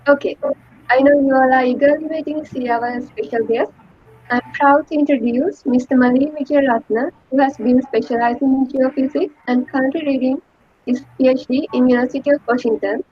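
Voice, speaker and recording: High breathy woman hosting formally. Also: steady tone, fairly quick, no room sound, highs cut off. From Sri Lanka. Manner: polite, formal. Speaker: host. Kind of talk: formal scripted introduction.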